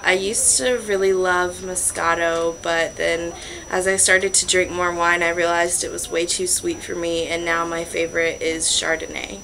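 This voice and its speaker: A woman's voice: on the low side, flat delivery, normal speed, background noise. A woman in her early twenties, a student chatting casually in an interview. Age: early 20s.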